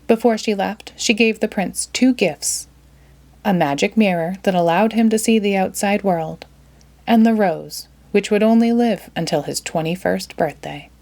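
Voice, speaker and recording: A woman storyteller reading a children's storybook aloud in an expressive manner. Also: mid-range voice, measured pace.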